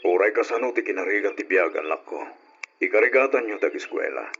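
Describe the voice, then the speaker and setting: A stern but loving old farmer father, ailing and weary. His voice is low and rough with breath, admonishing in radio-drama style. The old recording sounds muffled and lo-fi. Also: lively intonation, quick pace, fairly bright tone, exaggerated voice. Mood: earnest, admonishing. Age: older. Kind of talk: Ilocano radio drama acting.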